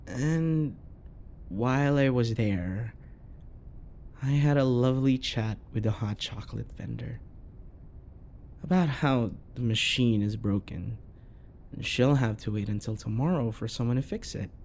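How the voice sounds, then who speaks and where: A man acts out a possessive yandere boyfriend in an intimate ASMR roleplay. He speaks very slowly, with sighs and pauses and a frequent vocal fry, coaxing sweetly while jealousy and menace sit underneath. A faint rumble of noise runs behind the voice. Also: a little mellow, lightly acted. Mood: saccharine, probing. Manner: coaxing, passive-aggressive, full of pet names, sighs and pauses.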